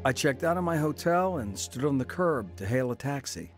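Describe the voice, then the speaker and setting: An earnest middle-aged man narrates his story in a steady, measured way. A music bed plays clearly underneath. His voice sits at an ordinary pitch. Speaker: narrator and lead. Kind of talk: first-person dramatized narration.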